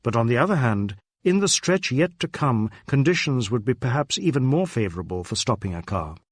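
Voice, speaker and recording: A man reading a detective novel as a narrator, in a measured, deliberate storytelling manner with careful phrasing. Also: medium pitch, very animated, dry recording, clean studio sound. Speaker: audiobook narrator.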